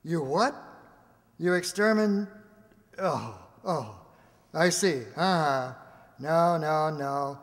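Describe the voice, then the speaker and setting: A man acting a slow, coaxing government agent. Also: fairly high pitch, lively intonation. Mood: awkward, chatty. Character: smooth, coaxing male government agent plying a guest. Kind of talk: radio play acting. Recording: reverberant hall.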